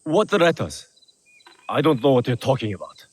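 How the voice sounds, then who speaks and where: An actor playing an excitable, frightened small-time schemer in a video game, blustering and agitated. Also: male voice, mid-range voice, moderate pace. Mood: scared. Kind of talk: video game cutscene acting.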